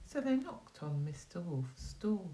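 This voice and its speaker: A woman's voice: on the low side, very animated, measured pace, warm tone, a little hiss or hum. A woman teacher reading a children's story expressively, with dramatic emphasis and questions put to her young listeners. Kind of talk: animated children's storytelling with asides.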